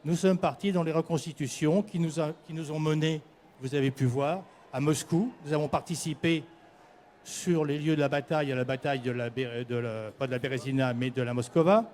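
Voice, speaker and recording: Older man from the Paris region, a prize candidate presenting live. Slightly raised pitch, halting delivery with self-corrections. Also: steady tone, a little mellow, slight background noise. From Paris region, France. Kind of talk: semi-prepared spoken presentation to an audience.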